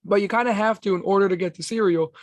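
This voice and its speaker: Podcast dude, raspy, kinda high voice, chill slangy chatter, dry close mic. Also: male voice, steady tone, quick pace, no room sound. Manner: laid-back slangy talk. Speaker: podcast co-host. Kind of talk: casual chat.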